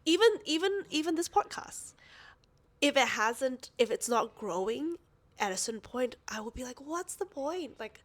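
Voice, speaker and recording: A woman's voice: sits high, animated. A woman in her thirties, a podcast co-host, talking casually and a little sniffly, often restarting her sentences. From Australia. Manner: rambling, self-interrupting, quick to laugh. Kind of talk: casual chat.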